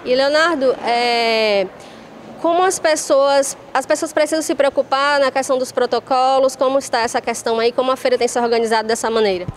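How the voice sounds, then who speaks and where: TV reporter woman, high voice, outdoor interview with faint traffic behind. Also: steady tone, brisk. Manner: clear, scripted questions. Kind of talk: news interview. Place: outdoors by a mural wall. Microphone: handheld reporter mic.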